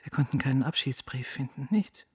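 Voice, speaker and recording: Husky, grieving mother. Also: rumbling low, fairly quick, no room sound, heavily acted. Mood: restrained grief. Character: grieving, quiet mother recounting her son's death. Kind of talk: radio drama monologue as a letter.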